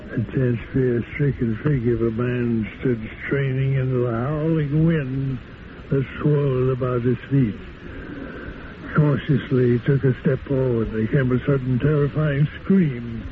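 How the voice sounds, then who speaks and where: Brief: very old man, slow halting old-timer delivery, tinny noisy tape. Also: medium pitch, fairly even intonation. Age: 90s. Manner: halting, slow, chuckling, old-fashioned diction. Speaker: interview guest, former radio actor. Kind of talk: casual interview, reciting an old radio bit.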